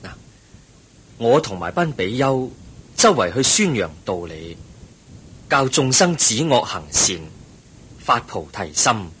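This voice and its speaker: An actor with a Hong Kong Cantonese accent playing the Buddha in a radio drama, a calm, wise teacher who explains things patiently and in measured phrases. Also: male voice, slightly rough, full character voice. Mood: patient teaching. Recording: noisy recording with low rumble.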